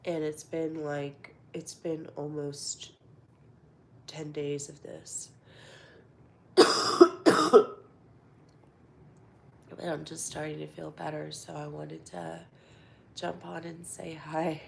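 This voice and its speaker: A woman with a cold, stuffed up and nasal, chatting casually to her livestream viewers from home in a soft voice that slips into half-whispers. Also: deep voice, flat delivery, takes it slowly, creaky, pauses often, a little hiss or hum. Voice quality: congested, nasal. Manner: soft-spoken, drifts into half-whispers, many fillers. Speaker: livestream host. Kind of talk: casual live-stream chat.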